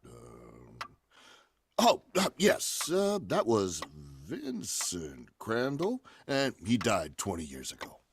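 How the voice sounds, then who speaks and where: A man's voice: lowish voice, wildly swooping pitch, slow pace, background noise, full character voice. A man playing a dithering, hesitant graveyard old-timer in an old-time radio horror play. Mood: pondering. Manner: hesitant, muttering.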